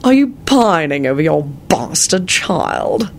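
Sneering male wizard villain, performed by a male narrator: aristocratic, bored, sarcastic drawl, creaky edge, hissy recording. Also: medium pitch, very animated, normal speed. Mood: impatient, sardonic.

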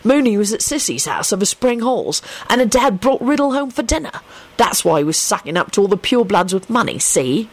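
A woman's voice: mid-range voice, very animated, a little fast, slightly rough, voice pushed up. A woman voicing a brash young male wizard in an audiobook, emphatic and cheeky. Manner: emphatic, scornful.

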